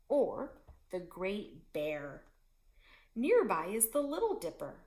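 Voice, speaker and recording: A woman reading a children's picture book aloud as a teacher, in a slow and expressive storytelling manner. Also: mid-range voice, echoey room.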